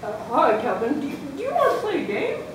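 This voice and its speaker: A woman's voice: sits high, huge pitch swings, quick pace, recorded from a distance. A woman playing a friendly, easygoing male schoolyard teacher in a readers theatre story. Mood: puzzled, amiable. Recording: echoey room from afar, background noise.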